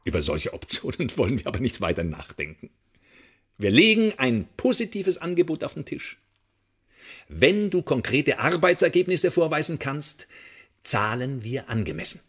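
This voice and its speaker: An actor playing a menacing, mock-polite secret-service interrogator in a radio drama, articulate and sardonic. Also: male voice, mid-range voice, very animated, moderate pace, fairly bright tone, breathy edge, exaggerated voice. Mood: smug, coolly coercive.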